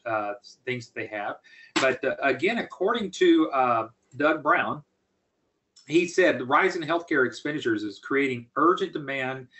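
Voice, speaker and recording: A man's voice: medium pitch, a bit bright. Male webinar host and business coach, mid-range voice, folksy and informal, rephrases as he goes.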